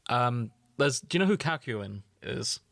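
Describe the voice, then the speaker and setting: Man, mid-range pitch, casual podcast chat, droll, understated. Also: animated, measured pace, gravelly, a bit bright, no room sound, studio quality. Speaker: podcast co-host.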